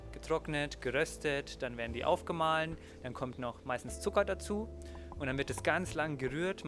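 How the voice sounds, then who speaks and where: A young man in his twenties with an ordinary mid-pitched voice talks at an even pace. He explains his craft in a calm, patient way with the odd filler. Faint music sits underneath. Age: 20s. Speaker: master confectioner and chocolatier. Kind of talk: guest explaining his workshop. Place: small chocolate workshop.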